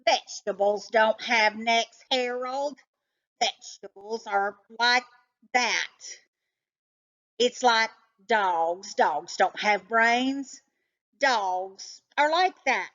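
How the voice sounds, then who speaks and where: A woman voicing an excitable, suspicious male house cat in a storybook, talking in an emphatic, condescending know-it-all way. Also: fairly high pitch, very slow, muffled recording, voice pushed up. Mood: scornful.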